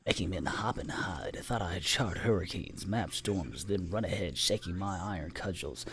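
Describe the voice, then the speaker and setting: A man's voice: low-pitched, moderate pace, fairly bright tone, a little hiss or hum. A man voicing an intense older traveling salesman of lightning rods, who preaches about storms in a fervent, theatrical way. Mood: boastful.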